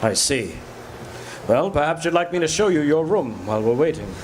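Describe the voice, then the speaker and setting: A man's voice: a little high, lively intonation, moderate pace, noisy background, close to an everyday voice. A man playing a polite, menacing mad scientist in an old-time radio drama, formal and coolly threatening. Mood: falsely polite. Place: live performance in a café.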